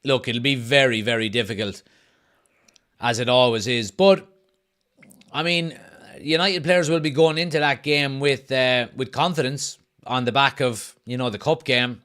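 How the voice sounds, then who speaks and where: A man's voice: mid-range voice, measured pace. A young Irish man hosting a live football watch-along stream, chatting casually, reading viewers' messages aloud and calling the match action. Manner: reads viewers' chat messages aloud and answers them; excited play-by-play bursts during match action.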